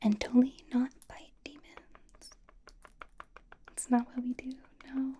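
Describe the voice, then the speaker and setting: A woman playing a bubbly, nervous young K-pop idol in an ASMR roleplay, whispering softly in a chatty, rambling, reassuring way. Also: on the high side, completely flat delivery, measured pace, slight background noise, close to an everyday voice. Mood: bubbly, awkward. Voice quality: soft, breathy whisper.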